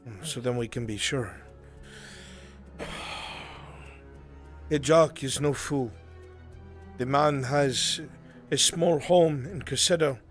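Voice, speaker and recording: Man playing a deliberate, grave fantasy conspirator. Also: medium pitch, lightly acted. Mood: grave, purposeful. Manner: deliberate, commanding, gives instructions. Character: grave male conspirator hiring agents for a covert mission. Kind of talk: improvised fantasy roleplay in VR. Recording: music behind the voice. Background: background music.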